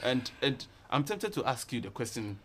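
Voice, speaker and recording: A man's voice: mid-range voice, very animated, moderate pace, a little hiss or hum. A man in his thirties hosting a TV studio interview, asking probing questions and often repeating words as he restarts. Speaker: TV talk show host. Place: TV studio set.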